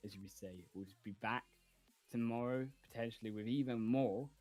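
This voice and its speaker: A man's voice: mid-range voice, moderate pace, a little mellow, faint music behind. A man casting esports, analyzing teams fluently and confidently and closing the broadcast. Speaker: esports caster.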